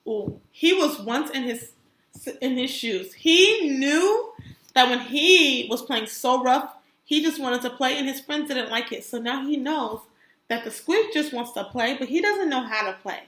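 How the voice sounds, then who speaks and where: A woman kindergarten teacher reading aloud to young children in an expressive, encouraging way, stopping to ask them questions. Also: sits high, unhurried. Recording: roomy, echoey sound.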